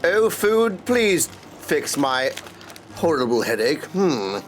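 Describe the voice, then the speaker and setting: A man's voice: on the high side, very animated, takes it slowly, slight background noise. A man chatting casually and goofily with viewers in slangy language while livestreaming a game. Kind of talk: casual livestream chat over gameplay. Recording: music behind the voice. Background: game music.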